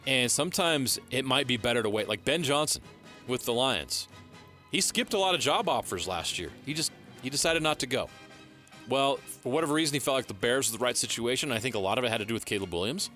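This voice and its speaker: Man, American, bright voice, sports radio co-host, casual commentary, studio. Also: medium pitch, lively intonation, quick pace, soft background music.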